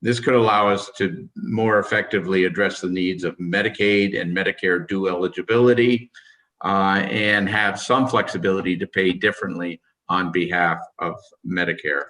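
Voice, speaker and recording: A man giving a formal policy briefing as a government official, halting often and with a voice that is starting to give out. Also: low voice, steady tone, pushed and bright, recorded from a distance, rough recording. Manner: halting, hesitant delivery. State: losing his voice.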